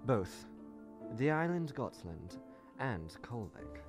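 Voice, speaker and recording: A man plays a poised female archaeologist adventurer in a comic dub. The speech is wry and politely formal with a very varied pitch, over loud music. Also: very animated, slow pace. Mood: composed, curious. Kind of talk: amateur comic dub, narration and dialogue.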